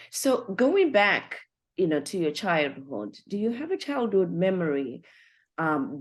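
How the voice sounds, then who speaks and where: A woman hosting a podcast interview, reacting to her guest with animated exclamations. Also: low voice. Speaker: podcast host.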